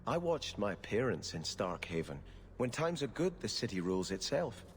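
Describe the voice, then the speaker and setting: Earnest, deep-voiced exiled prince. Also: male voice, sing-song, normal speed, slight background noise. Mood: earnest, reflective. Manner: solemn, earnest, formal phrasing. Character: earnest, pious, conflicted young exiled prince. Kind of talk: dramatic video game dialogue.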